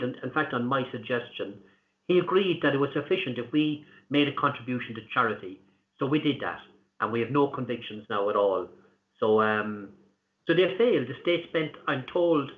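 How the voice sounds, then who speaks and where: A man with an Irish accent answering interview questions in an earnest, deliberate way, pausing often with fillers. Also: mid-range voice. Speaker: interview guest, activist. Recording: tinny echoey call line.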